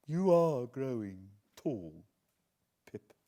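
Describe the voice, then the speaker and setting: A man's voice: medium pitch, sing-song, drawn-out words, dark tone. A British man voicing an imperious, impatient old lady in an audiobook, curt and haughty. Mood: imperious, displeased. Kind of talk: acted audiobook character.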